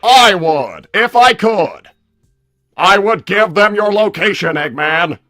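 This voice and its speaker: A man voicing a loud, belligerent combat robot with stilted, mechanical, declamatory delivery in a livestream roleplay. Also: sits high, expressive, takes it slowly, soft background music, exaggerated voice. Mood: menacing. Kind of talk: improvised character roleplay on a livestream.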